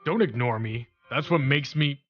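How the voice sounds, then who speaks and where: A man's voice: medium pitch, moderate pace, very noisy, lightly acted. A man voicing a cocky, hot-tempered teenage bully in an anime-style dub, sneering and aggressive. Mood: angry. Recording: dull, band-limited sound.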